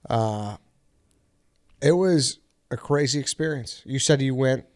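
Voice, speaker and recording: A man at a mid-range pitch, talking loosely on a podcast. He swears freely and keeps the banter easy. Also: takes it slowly, darkish tone, no room sound, studio quality. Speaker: podcast co-host. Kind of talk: casual chat.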